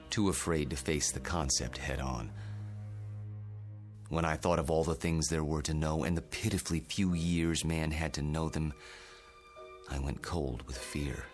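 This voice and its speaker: Weary immortal: very low bass, grave, measured, reflective radio-drama confession over soft music. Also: male voice. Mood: haunted, reflective. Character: weary immortal man, grave, confessing his long-kept secret. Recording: music underneath.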